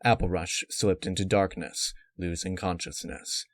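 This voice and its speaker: A man reading scripted dark fan fiction as narrator, in a measured, dramatic way. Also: deep voice, steady tone, no echo. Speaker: story narrator.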